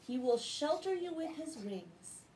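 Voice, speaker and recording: A woman's voice: sits high, very animated, a little slow, dark tone, slight background noise. A middle-aged American woman reading and talking to children in a bedtime-story style, recorded at home on a device a short way off. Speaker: children's Bible story reader. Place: living room at home, indoors.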